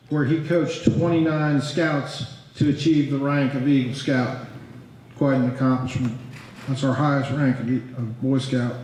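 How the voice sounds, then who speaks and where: Flat-voiced man reading an inductee introduction. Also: mid-range voice, a little hiss or hum. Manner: steady, reads a list of credits. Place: large banquet hall. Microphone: podium microphone. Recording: very echoey hall over a PA.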